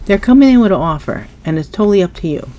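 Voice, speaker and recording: A woman's voice: medium pitch, animated, fast talker, warm tone. A woman with a stuffy nose giving a casual tarot reading, musing aloud as she turns cards at a table. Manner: musing, thinking aloud while turning cards. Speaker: tarot reader. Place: indoors at a table, hands only in frame.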